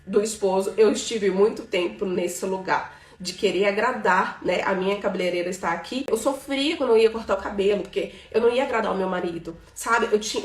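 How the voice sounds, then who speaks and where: A woman's voice: fairly high pitch, distant mic. A Brazilian woman in her thirties giving a live class as a financial mentor, talkative, filling her talk with "né?" and "tá bom?". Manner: talkative, filler-heavy, checks in with "tá bom?" and "né?". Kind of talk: informal live lesson. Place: room with a live audience present. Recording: roomy, from off the mic.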